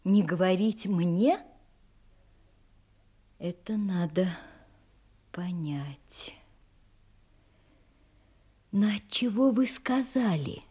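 A proud, wounded young lady in a radio play. She is grave and restrained, with a mellow, husky voice and deliberate, slow delivery. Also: medium pitch. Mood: grave, probing. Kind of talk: audio drama acting.

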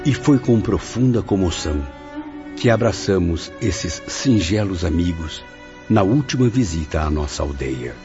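Radio-play memoirist: a remorseful spirit, very low voice, Brazilian accent, reflective, over music. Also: male voice, expressive, unhurried. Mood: moved. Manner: solemn, reflective. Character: remorseful, moved male spirit recounting his memoirs. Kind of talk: radio drama first-person narration. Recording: dull lo-fi audio, music behind.

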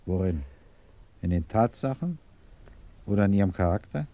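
An actor in a German radio drama playing a calm, patient male interrogator who probes with formal, measured moral earnestness. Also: lowish voice. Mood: probing.

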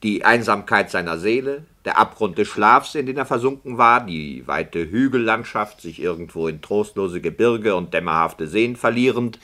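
An actor playing an argumentative, pompous radio play director, speaking in a rhetorical, sardonic manner in a 1960s German radio drama. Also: male voice, fairly low pitch, lively intonation, normal speed, few pauses, pushed and bright. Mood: ironic.

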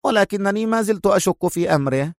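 A man voicing a cold, skeptical woman operative in an audio story, speaking in a crisp, decisive, analytical manner. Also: sits high, brisk, no echo, studio quality, pitched above the performer's own voice, lightly acted. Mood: decisive, doubtful.

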